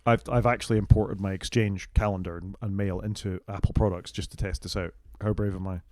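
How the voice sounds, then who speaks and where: A British man co-hosting a casual tech podcast, going through a list of features and giving quick, opinionated takes. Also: fairly low pitch, fairly even intonation, darkish tone, no echo, studio quality. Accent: British English. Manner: fast-talking, opinionated, goes down the list item by item. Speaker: podcast co-host.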